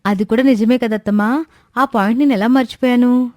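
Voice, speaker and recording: A woman's voice: on the high side, steady tone, fairly quick, a little mellow, dry recording, clean studio sound, natural voice. A woman voicing an eager, naive young daughter-in-law in a cartoon moral story, confident and respectful as she explains. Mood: agreeable. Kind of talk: animated Telugu moral story dialogue.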